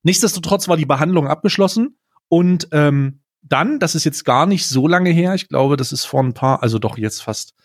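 A man's voice: fairly high pitch, lively intonation, normal speed. A man telling anecdotes casually as a podcast co-host, blunt and slangy, dropping in bits of English.

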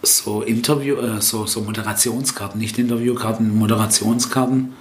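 A man's voice: lowish voice, fairly even intonation, fairly quick. A middle-aged man whose first language is Italian talks through his working day as a festival-tent jester in loose, rambling sentences. He keeps correcting himself and tags his points with a questioning "ne?". The room around him sounds echoey, with a faint hum of background noise. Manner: rambling, lots of fillers and self-corrections, tag "ne?". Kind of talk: relaxed interview answers.

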